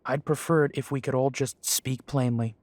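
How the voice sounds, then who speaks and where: A man's voice: medium pitch, fairly even intonation, moderate pace, a little mellow, no room sound, lightly acted. An actor playing a defiant young male werewolf who answers the council with dry, mocking politeness. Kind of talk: audio drama acting.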